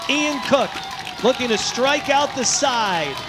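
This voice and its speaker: A man's voice: extremely high pitch, animated, takes it slowly, over music, crowd noise. A man doing live baseball play-by-play as the announcer, in short, emphatic phrases. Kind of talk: live sports play-by-play commentary.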